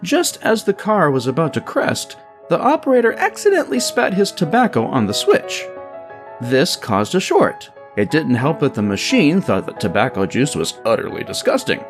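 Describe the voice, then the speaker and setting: A man narrating a scripted comic story in an animated, dramatic storytelling manner. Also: fairly high pitch, normal speed, over music. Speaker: narrator and writer of a comedy story podcast.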